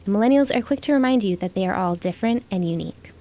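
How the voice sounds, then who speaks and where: Mellow American woman narrating a report. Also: medium pitch, animated, quick pace. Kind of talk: scripted narration.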